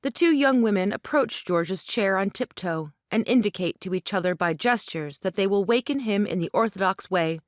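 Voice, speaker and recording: A woman's voice: medium pitch, expressive, normal speed, hardly any pauses, dry recording, studio quality. A woman narrator reading scripted stage directions for a play.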